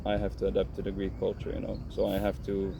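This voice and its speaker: A man in his thirties answers interview questions, his low voice gravelly and fairly flat. He speaks calmly and frankly, often restarting as he thinks. The recording carries clear background noise. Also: fast. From Finland. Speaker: professional footballer. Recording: noisy background.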